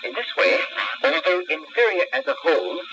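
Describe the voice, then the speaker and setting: Over a dull shortwave recording, a man reads the news like a formal proclamation. His pitch rises and falls emphatically, in the scripted declamatory style of 1960s propaganda radio. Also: wildly swooping pitch, moderate pace, music in the background. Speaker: radio newsreader.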